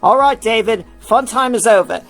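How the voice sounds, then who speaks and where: A no-nonsense man keeping his rock band in line, in a narrated story acted by a woman reader. The voice is raised in pitch and commanding, with light music underneath. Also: moderate pace, close to an everyday voice. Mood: bossy. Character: Tim, bossy no-nonsense male band member.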